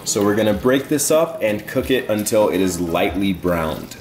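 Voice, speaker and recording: A man's voice: on the low side, expressive, normal speed, darkish tone. A young man hosting a casual cooking vlog in his home kitchen, chatting upbeat and directly to viewers. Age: young adult. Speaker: fitness vlogger, host. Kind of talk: casual cooking demo to camera. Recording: roomy kitchen echo with background music.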